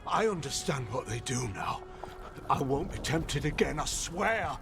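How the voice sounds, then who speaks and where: Terrified fantasy villager, high voice, desperate, pleading, overwrought, dramatic score. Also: male voice, lively intonation, a little slow, vocal fry, over music. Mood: shaken. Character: desperate, frightened male villager haunted by evil.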